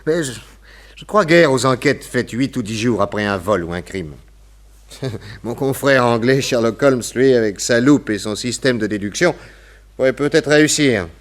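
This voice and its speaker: An actor playing a shrewd, unflappable private detective in a French radio play, with a measured, dryly skeptical way of questioning. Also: male voice, mid-range voice, lively intonation, natural voice.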